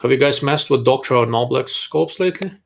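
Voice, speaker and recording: A man with a Russian accent hosts a live question-and-answer stream, giving blunt, dry answers. His mid-pitched voice has a gravelly edge. He talks plainly and is quick to call ideas out. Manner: blunt, dryly sarcastic. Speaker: host.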